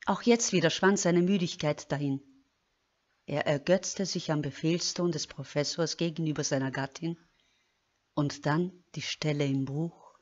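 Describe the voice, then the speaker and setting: A woman reading scripted narration slowly and deliberately. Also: deep voice, steady tone. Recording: dull lo-fi sound.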